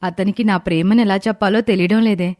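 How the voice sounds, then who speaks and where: A woman's voice: medium pitch, fairly even intonation, brisk, a little mellow, natural voice. A woman voicing young female family members in dramatized dialogue for a moral story. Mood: anxious. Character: young sisters and mother in a family tale.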